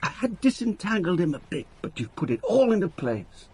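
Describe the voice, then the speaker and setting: Dogged male police detective for radio drama: dry, wry, husky, world-weary. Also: high voice, big pitch swings, normal speed, a little mellow, heavily acted. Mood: dry, matter-of-fact. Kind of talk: British radio drama narration and dialogue.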